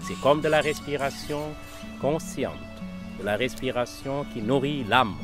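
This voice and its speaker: A man from Senegal who has lived in France for about twenty years, speaking calmly and reflectively about storytelling. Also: medium pitch, unhurried, over music. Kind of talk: interview.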